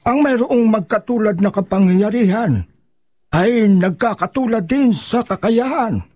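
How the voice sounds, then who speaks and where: High-voiced devout old male mentor. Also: a little slow, darkish tone, dry recording, muffled recording. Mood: earnest, instructive. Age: older. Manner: earnest, preachy, insistent. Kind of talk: radio drama acting.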